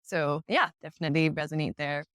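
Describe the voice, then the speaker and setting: A woman's voice: sits low, steady tone, fairly quick, a bit bright, clean tone, no echo, clean studio sound. A woman hosting a podcast, affirming her guest and asking open, thoughtful questions. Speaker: podcast host. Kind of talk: interview host chat.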